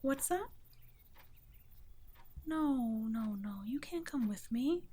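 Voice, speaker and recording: A woman playing a gentle, protective vampire caretaker in an intimate ASMR roleplay, speaking softly and breathily, often nearly whispering. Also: sits high, animated, slow pace, heavily acted. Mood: gently firm. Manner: soft, breathy, tender, often dropping to a whisper.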